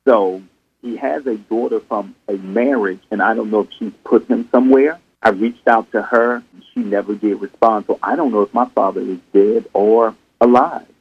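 A man in his fifties, a guest on an interview show, speaking in a measured, soft-spoken way over a video call. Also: on the low side, husky, narrow, tinny audio, soft background music. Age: 50s. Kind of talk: casual interview conversation.

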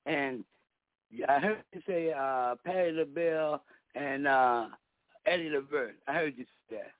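Guy calling in, hyping hard, drawn-out words, dry phone sound. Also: a little high, dry recording. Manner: emphatic, drawn-out hype. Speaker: radio host calling in. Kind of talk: casual radio chat. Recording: dry tinny phone line.

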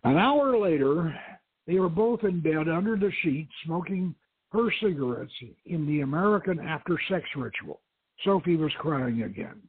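A man narrates an adventure novel as a dramatic reading. His voice is fairly high and a little husky, carried on a narrow, telephone-like line. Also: expressive, normal speed. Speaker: host and narrator. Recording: phone-like thin line, echoey.

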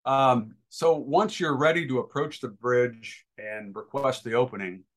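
Man, guest expert, informal interview, medium pitch, practical step-by-step explanations, hesitant delivery. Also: unhurried, rough recording. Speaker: guest expert, boating safety educator.

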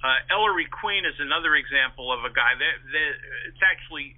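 A man's voice: on the high side, fairly quick. A man giving detailed, talkative explanations as a historian guest in an informal interview, speaking over the telephone. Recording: narrow-band phone-like radio line.

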